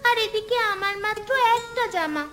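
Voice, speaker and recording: A poor little village girl in a cartoon tale. The voice is extremely high and childlike, eager and pleading, with clear music behind it. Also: moderate pace. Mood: whining. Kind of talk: cartoon voice acting.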